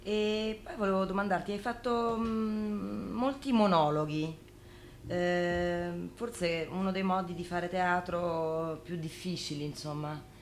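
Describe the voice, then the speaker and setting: A woman's voice: on the low side, steady tone, slow. A woman asking casual interview questions in a hesitant, slightly self-conscious way. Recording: noticeable background noise.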